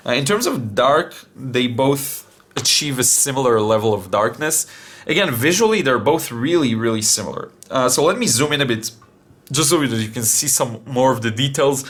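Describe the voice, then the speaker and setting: A young man demonstrating watercolor paints, talking casually with many fillers, his voice still a little deep after being sick. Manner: relaxed, thinking aloud with many fillers. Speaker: art channel host.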